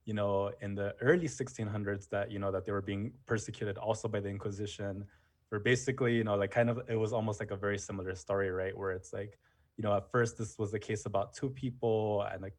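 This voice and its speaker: Low-voiced man in casual chat. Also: steady tone, quick pace. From United States. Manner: casual, many fillers like "you know" and "um". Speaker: history teacher, guest. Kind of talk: casual conversation.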